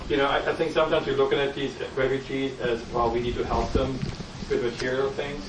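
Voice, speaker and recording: A man's voice: mid-range voice, little pitch movement, brisk. A middle-aged man with a German accent speaking earnestly on a panel, rambling and restarting his sentences. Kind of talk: panel discussion. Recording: roomy, distant mic, noisy.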